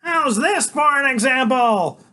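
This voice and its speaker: A man voicing a small, fierce boy who shouts commands and boasts theatrically, reading a storybook aloud. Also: extremely high pitch, animated, a little slow, voice pushed up, exaggerated voice. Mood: grandiose.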